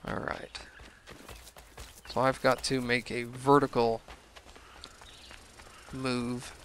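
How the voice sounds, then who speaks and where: A young man commenting casually on a video game he is playing, his voice a little hoarse from a cold, rambling with self-deprecating asides. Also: medium pitch, level tone, extremely slow, slight background noise. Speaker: let's play gamer.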